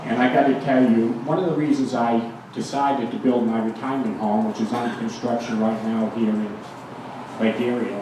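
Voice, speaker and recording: A man's voice: mid-range voice, flat delivery, normal speed, mellow. A man in his sixties with a Boston accent gives an informal, emphatic public speech in a plain-spoken way. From United States. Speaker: guest speaker, fire protection engineer and town mayor. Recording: very echoey, distant microphone, faint noise.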